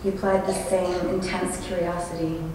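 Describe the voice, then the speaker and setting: A woman giving a prepared memorial tribute and poetry reading with measured, solemn phrasing. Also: on the low side, little pitch movement. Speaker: college friend speaking at a memorial. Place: large echoing hall at a memorial service. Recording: very echoey hall, distant, noisy ambience.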